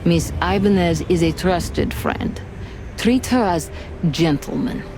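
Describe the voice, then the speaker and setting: A commanding, composed lady in a film-noir game. Her voice is deep for a woman and very slow, with formal, deliberate phrasing. Music is clearly present behind it. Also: animated, low-quality recording. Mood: cool.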